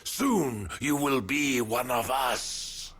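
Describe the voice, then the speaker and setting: A sinister spirit of the fog in a fantasy game. The voice whispers hypnotically and drags each word out very slowly. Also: male voice, mid-range voice, a bit bright, a little hiss or hum.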